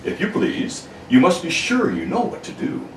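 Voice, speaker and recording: Guy doing a super polite secret-house butler, wildly swingy pitch, old radio play style, echoey and far from mic. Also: huge pitch swings. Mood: courteous, formal. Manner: formal, deliberate servant-like speech. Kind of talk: amateur read of a 1940 radio serial. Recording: echoey room, distant mic, faint noise.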